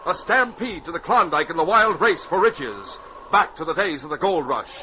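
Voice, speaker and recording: Male 1940s radio announcer-narrator, North American, dramatic and highly inflected, on a muffled, hissy old recording. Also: expressive, moderate pace. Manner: dramatic, emphatic.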